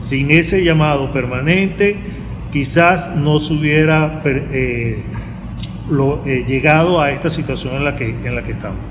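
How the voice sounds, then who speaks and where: A Venezuelan man, a university lecturer, giving his opinion in a panel interview in a halting, repetitive way. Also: fairly high pitch. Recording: very echoey hall with loud noise.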